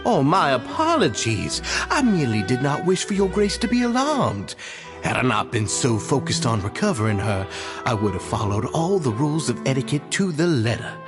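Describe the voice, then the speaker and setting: An actor playing a smooth-talking man who is overly courteous and falsely polite. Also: mid-range voice, very animated, moderate pace, music in the background, close to an everyday voice. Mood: oily.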